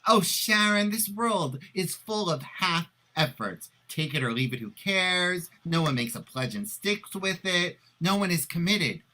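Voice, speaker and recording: Guy doing shifty panicky cheating husband in a comedy play, bright tense voice, hedges then gets emphatic. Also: high-pitched, big pitch swings, unhurried, hardly any pauses, heavily acted. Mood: persuasive. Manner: hesitant hedging that swells into loud emphatic outbursts. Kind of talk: live play reading, comedic stage acting.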